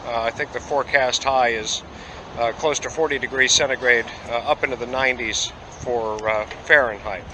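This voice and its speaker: A man's voice: mid-range voice, fairly even intonation, background noise. Easygoing man rambling outdoors by a waterfront. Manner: rambling, many "uh" pauses, easygoing. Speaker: travel vlogger. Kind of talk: casual travel vlog narration.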